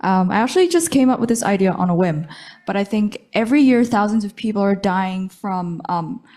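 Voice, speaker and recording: A young woman, a university student, giving a casual, tentative pitch at an event. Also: expressive, fairly quick, darkish tone. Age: young adult.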